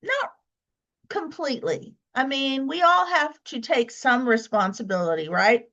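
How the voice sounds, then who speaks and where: A woman's voice: high voice, unhurried, bright, forceful tone, highs cut off. A woman who is a professional organizer, hosting a casual solo podcast while congested with a cold, chatty with fillers and restarts.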